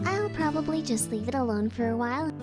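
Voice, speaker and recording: A woman's voice: sits high, lively intonation, a little fast, over music. A woman playing a sardonic, levelheaded young woman in an anime visual novel. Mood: dry, guarded.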